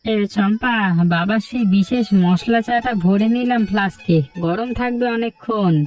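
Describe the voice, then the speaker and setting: A woman's voice: fairly high pitch, moderate pace, a little mellow, far from the mic, soft background music, lightly acted. Friendly cartoon villager voiced by a woman. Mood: agreeable. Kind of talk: Bengali cartoon story dialogue.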